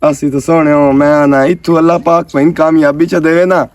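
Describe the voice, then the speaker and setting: A man's voice: a little high, little pitch movement, moderate pace, a little mellow, natural voice. A man with a Pakistani Punjabi accent playing a lonely, lovestruck rural bachelor in a comedy skit, chatty, eager and effusive with blessings. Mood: excited, teasing. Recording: roomy echo, distant microphone.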